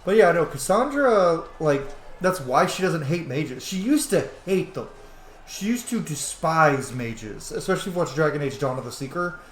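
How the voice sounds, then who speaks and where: A man's voice: fairly high pitch, sing-song, moderate pace. A man giving casual, conversational commentary on a game playthrough, blunt and reactive. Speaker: gamer, roleplay host. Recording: echoey room, some noise.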